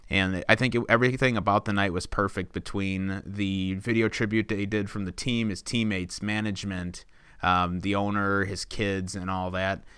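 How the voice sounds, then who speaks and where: A man's voice: fairly low pitch, flat delivery, moderate pace, a bit bright, clean tone, no room sound, clean studio sound. A young man co-hosting a podcast, talking steadily and at length, with filler words along the way. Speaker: podcast co-host. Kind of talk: casual chat.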